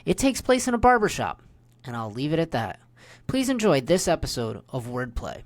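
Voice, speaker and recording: A woman's voice: sits low, big pitch swings, moderate pace. A woman reading a scripted introduction as a podcast host.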